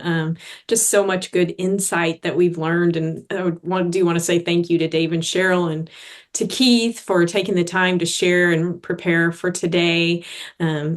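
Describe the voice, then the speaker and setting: A woman hosts a training session over a video call. She sounds upbeat and thankful and talks with loose, easy fillers. Her pitch sits in the middle range. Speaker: training host. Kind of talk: online training meeting.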